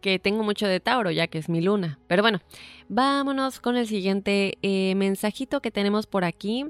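A woman's voice: mid-range voice, normal speed, no echo, faint music behind. A woman from Mexico hosting a casual talk show, reading listener stories aloud and giving spiritual advice. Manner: reads listener messages aloud, then gives spiritual advice. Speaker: podcast host.

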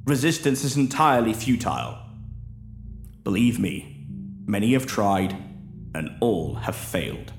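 A man's voice: on the low side, sing-song, unhurried, pauses often. A man voicing a grim, resigned veteran prisoner in an audio story, bitter and emphatic. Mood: grim resignation. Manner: emphatic, fatalistic. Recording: roomy echo, music behind.

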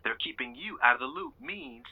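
A man playing a gravelly, blunt male confidant. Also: on the high side, huge pitch swings, a little fast, phone-quality sound, exaggerated voice. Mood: urgent, blunt. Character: blunt male confidant warning his friend. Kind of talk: audio drama dialogue.

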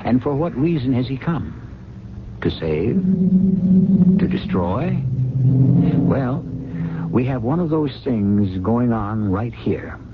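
A man's voice: medium pitch, very animated, slow, long pauses, heavy background noise. A man hosting a 1970s radio mystery show, narrating in a theatrical, questioning way straight to the listener. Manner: theatrical, rhetorical, addressing the listener. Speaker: radio drama host and narrator. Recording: dull broadcast recording under loud music.

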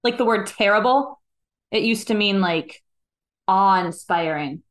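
A woman's voice: mid-range voice, unhurried. A woman guest on a podcast, explaining her views in a thoughtful, casual way. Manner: thoughtful, explanatory, frequent "like" fillers. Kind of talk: casual conversational interview.

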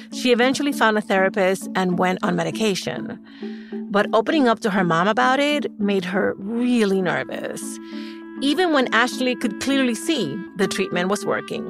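A woman hosting, reading a calm, measured scripted introduction. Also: mid-range voice, creaky. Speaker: show host. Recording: voice over loud music bed.

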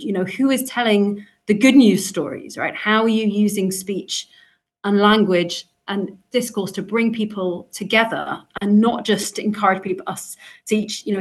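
British woman, reflective podcast guest. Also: medium pitch. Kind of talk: thoughtful conversational commentary.

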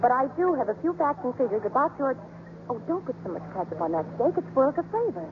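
Plucky young lady columnist, very animated, indignant and flustered, vintage radio drama, tinny broadcast sound. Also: sits high, quick pace, noisy background. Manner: indignant, flustered stammering when challenged. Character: spirited, earnest young woman newspaper columnist. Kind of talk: 1950s radio drama acting. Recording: muffled narrow-band old radio broadcast, music.

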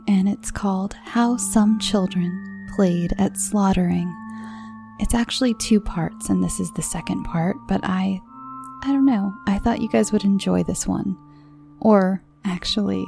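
A woman's voice: medium pitch, creaky. A woman storyteller hosting and narrating frightening fairy tales from a script. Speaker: podcast host and storyteller. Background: soft music.

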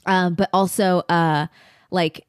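A woman co-hosts a casual podcast, her voice sitting a bit low. She hesitates often and circles back with fillers as she thinks out loud. The recording is dry and close. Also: fairly even intonation, slow, smooth, no echo. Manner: hesitant, many fillers and restarts. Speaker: podcast co-host. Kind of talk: casual chat about a TV show.

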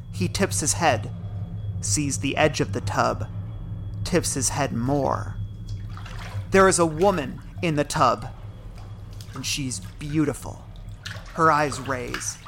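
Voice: medium pitch, gravelly, noisy background. A narrator reading the stage directions of a suspense teleplay as scripted narration.

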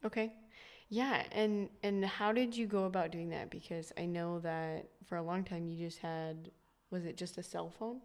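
Lower-voiced woman interviewer. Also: fairly even intonation, normal speed. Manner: curious, asks follow-up questions. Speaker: podcast host. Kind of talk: casual interview.

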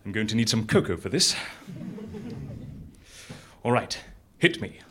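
A man acting an affable, curious, excitable interviewer at a secret agency in a live audio comedy drama. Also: on the low side, unhurried, husky, heavily acted. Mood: keen, curious.

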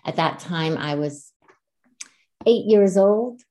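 A woman's voice: lowish voice, animated, slow, mellow. A woman in her sixties, born in the Czech Republic, talking earnestly and reflectively as a guest on an interview podcast, often restarting her sentences. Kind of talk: conversational interview. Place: Calgary, Canada.

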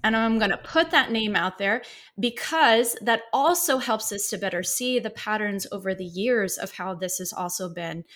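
A woman's voice: medium pitch, moderate pace, a bit bright. A woman psychotherapist, a podcast guest, answering at length in an easygoing way, with frequent fillers and light laughs. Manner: expansive, laughs easily, many fillers.

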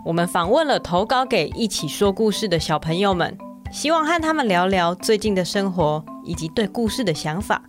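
A woman with a Taiwanese Mandarin accent speaks at an average female pitch as she co-hosts for children. Her wording is simple and friendly, made for little listeners. Soft music sits faintly behind her. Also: big pitch swings, normal speed. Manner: child-directed, simple phrasing with soft particles. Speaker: children's story podcast co-host. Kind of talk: friendly hosting and chatting with a child.

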